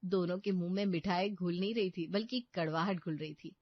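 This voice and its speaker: A woman's voice: lowish voice, fairly even intonation, a little fast, no echo, muffled recording. A woman reading a scripted storybook narration as the storyteller.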